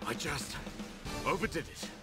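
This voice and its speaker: A man's voice: fairly high pitch, animated, normal speed, natural voice. A man playing a dignified, stoic warrior leader in a video game, formal and intense. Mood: strained, determined. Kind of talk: scripted video game battle acting. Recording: loud music behind.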